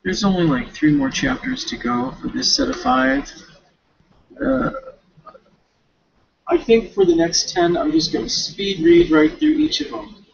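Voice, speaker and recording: A man giving a casual, rambling recap as a student, talking half to himself in informal, slangy, halting phrases with self-corrections on a dull home recording. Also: very high-pitched, big pitch swings, gravelly, a little hiss or hum. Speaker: student reading a novel for a class paper.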